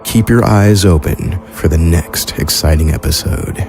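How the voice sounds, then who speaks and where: Brief: male podcast announcer, very low and creaky, scripted sign-off over faint music. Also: a little slow.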